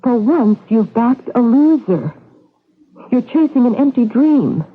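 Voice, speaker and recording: Young wife, radio drama: high, husky, swooping delivery, pleading surface over cold intent. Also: female voice, sing-song, slow, full character voice. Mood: anxious pleading. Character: young scheming wife, outwardly tender, secretly cold. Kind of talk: 1970s radio drama acting. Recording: tinny, band-limited old radio recording.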